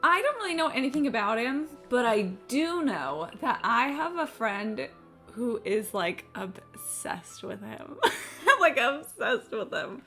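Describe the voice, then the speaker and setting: A woman's voice: on the high side, big pitch swings, unhurried, pushed and bright. A woman chatting casually on a gaming livestream in a rambling, sweary, self-deprecating way. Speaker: live game streamer. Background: game music.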